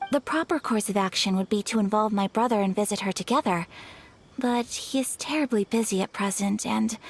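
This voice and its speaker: A woman playing a polite, gentle young noblewoman in an anime video game, speaking formally and with courteous, measured phrasing. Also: fairly high pitch, natural voice. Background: background music.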